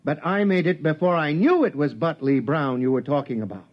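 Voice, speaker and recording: Brief: actor as flustered, pompous captain, stammers, 1950s radio play, lively pitch. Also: male voice, fairly quick, lightly acted. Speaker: ship captain presiding at hearing. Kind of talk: 1950s radio drama acting. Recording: dull old radio broadcast.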